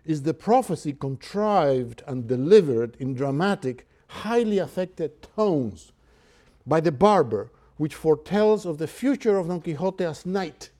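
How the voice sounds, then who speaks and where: Brief: Cuban-accented professor lecturing, warm, mellow tone, halting delivery. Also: male voice, a little high. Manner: halting, with many filler sounds. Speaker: literature lecturer. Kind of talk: university lecture.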